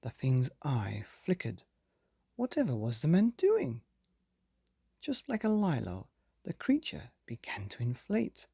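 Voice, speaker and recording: A man hosting and reading a children's bedtime story, with theatrical, dramatic emphasis and drawn-out words. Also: mid-range voice, wildly swooping pitch, gravelly, dark tone, breathy edge, dry recording, clean studio sound. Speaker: podcast host and story reader.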